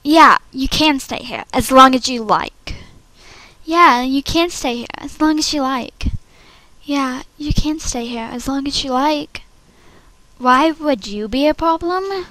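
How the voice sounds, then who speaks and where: A woman playing an outspoken schoolgirl from an animated series in short, dramatic readings. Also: high voice, slow, no echo, exaggerated voice, low-quality recording. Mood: casual.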